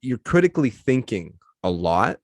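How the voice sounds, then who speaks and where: A man's voice: medium pitch, sing-song, moderate pace, no echo, clean studio sound. A man hosting a casual podcast interview, speaking in an informal, reflective and empathetic way.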